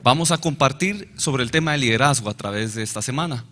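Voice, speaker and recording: Bright-voiced male interpreter in a hall. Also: mid-range voice, lively intonation. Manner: brisk, fluent, follows the speaker phrase by phrase. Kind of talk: consecutive interpretation of a lecture. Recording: reverberant hall.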